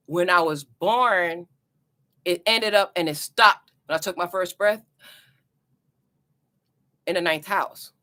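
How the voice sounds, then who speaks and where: A woman's voice: fairly low pitch, pushed and bright. A woman with a New Jersey accent talking to camera about astrology in a loose, chatty teaching style, repeating herself and adding "you know" asides. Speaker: astrology vlogger. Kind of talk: casual teaching talk to camera.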